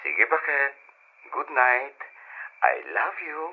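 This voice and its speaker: A man's voice: medium pitch, big pitch swings, takes it slowly, husky, heavily acted. An actor playing a young man in love, an earnest and playful suitor in a radio drama. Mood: teasing affection. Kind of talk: old radio drama acting. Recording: dull, lo-fi old radio drama.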